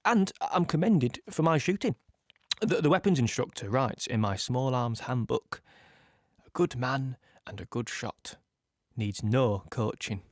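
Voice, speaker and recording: Measured young British soldier. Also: male voice, mid-range voice, animated, dry recording, clean studio sound. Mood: proud, cheerful. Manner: measured, halting, reflective storytelling in short phrases. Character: young male British tank gunner, proud, plain-spoken, later sombre. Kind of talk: audio play monologue.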